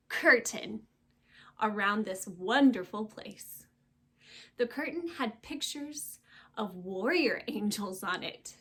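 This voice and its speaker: A woman reading a storybook aloud to young children, expressive and emphatic, with dramatic pauses and hushed phrase endings. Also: fairly high pitch, slightly rough.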